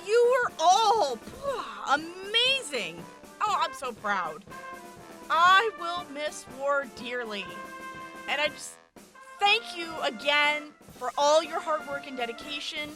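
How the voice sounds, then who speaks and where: A woman voice actor offering sincere thanks in a relaxed, halting way, often restarting her sentences. Her voice is very high and lively, with music playing underneath. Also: low-quality recording. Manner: grateful, heartfelt, halting with restarts. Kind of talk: casual thank-you message.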